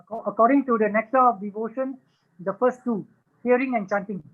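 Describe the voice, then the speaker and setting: A man with a Singaporean accent answering questions after a talk, often restarting with repeated "and, and". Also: very high-pitched. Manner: halting, many repeated "and, and" restarts. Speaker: guest speaker answering questions. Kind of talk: Q&A after a lecture. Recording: muffled lo-fi video call audio.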